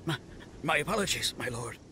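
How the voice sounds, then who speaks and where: A man's voice: on the high side, expressive, fairly quick, noisy background, natural voice. A man voicing an eager, deferential soldier underling in a game. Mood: cowed. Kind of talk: game cutscene voice acting.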